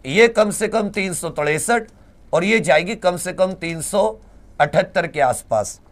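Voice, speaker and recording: A man's voice: high-pitched, a little slow. A man, lecturing informally as a coaching teacher, exasperated and repeating his points with rhetorical questions. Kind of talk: informal lecture explaining exam cut-offs to students. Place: indoor teaching studio at a large digital board. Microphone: lapel mic.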